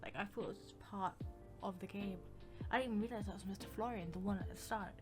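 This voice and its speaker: Casual woman gamer over loud music. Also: mid-range voice, fairly quick. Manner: informal, rambling. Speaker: gaming streamer. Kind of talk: casual game commentary.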